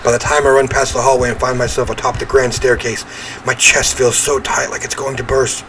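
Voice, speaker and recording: A man's voice: mid-range voice, flat delivery, brisk, a bit bright, slight background noise. A man reading a visual novel aloud as he plays, with theatrical, emphatic narration and offhand reactions.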